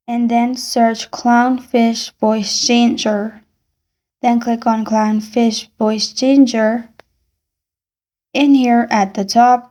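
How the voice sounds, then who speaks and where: A woman reading a scripted step-by-step tutorial in an instructional, deliberate manner. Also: fairly high pitch, warm tone. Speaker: tutorial narrator.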